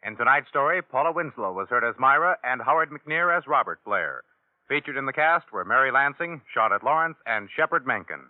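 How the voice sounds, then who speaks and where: A man's voice: mid-range voice, lively intonation, fairly quick. A man with an American accent reading scripted credits and promos as a 1950s radio announcer. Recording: tinny old radio broadcast recording. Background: loud music.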